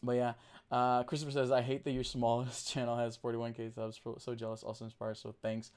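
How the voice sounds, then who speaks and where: A man from Brazil whose first language is Portuguese, chatting casually on a livestream and reading out chat, rambling with restarts and fillers while talking deliberately slower. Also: mid-range voice, completely flat delivery, darkish tone. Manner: trained to talk slower on camera.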